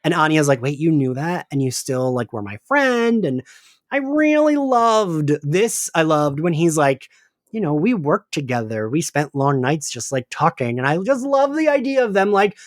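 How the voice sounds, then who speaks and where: An animated man in casual podcast conversation, with pitch that moves a lot. He is emphatic and sweary, often breaking off and restarting his sentences, in a dry recording. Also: moderate pace, no echo. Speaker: podcast host. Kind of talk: casual chat, recapping an audio drama.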